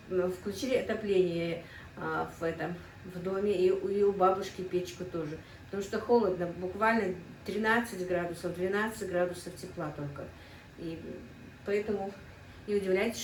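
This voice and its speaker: A woman's voice: mid-range voice, distant mic. A middle-aged woman chats away at her home cooking, talking straight to her viewers as friends. Her voice comes from a little way off the mic in an echoey kitchen, with a faint hum underneath. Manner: chatty, keeps calling the viewers friends. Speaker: cooking vlogger. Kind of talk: casual cooking vlog.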